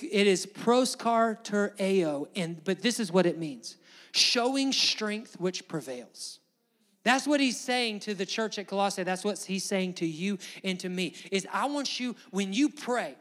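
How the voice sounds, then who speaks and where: A man's voice: very high-pitched, a bit bright. A male pastor with a Southern Alabama accent preaching a fervent sermon to a congregation, emphatic, repetitive and exhorting. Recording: long hall reverb.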